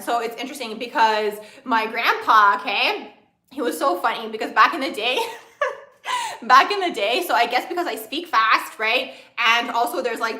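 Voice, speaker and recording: A young woman hosting a casual astrology livestream, talking very fast and bubbly and filling her sentences with "like" as she answers viewer comments. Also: a little high, bright, forceful tone, reverberant. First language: another language first, grew up speaking English from age four. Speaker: astrology channel host.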